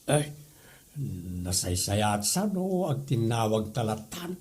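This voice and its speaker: Male actor as flirty middle-aged uncle, Northern Philippine accent, unhurried, husky voice, cajoling, comedy audio drama. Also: medium pitch, big pitch swings, a little mellow, full character voice. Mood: flirtatious. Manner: cajoling, teasing.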